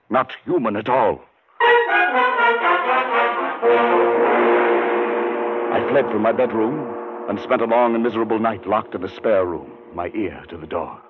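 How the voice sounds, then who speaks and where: An actor playing a haunted, scholarly man, narrating his dread gravely and dramatically in a 1940s radio suspense play. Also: fairly low pitch, moderate pace. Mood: rising terror. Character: haunted Edward Dunning, scholarly man gripped by mounting dread. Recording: muffled 1940s radio broadcast, faint music.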